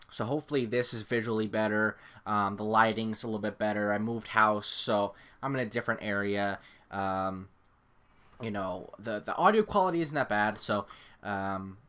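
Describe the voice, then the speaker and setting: A man's voice: lowish voice, fairly bright tone. A young man from California giving casual, rambling gameplay commentary to camera at home, full of fillers and self-deprecating asides. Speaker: gaming YouTuber. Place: home room. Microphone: desk microphone.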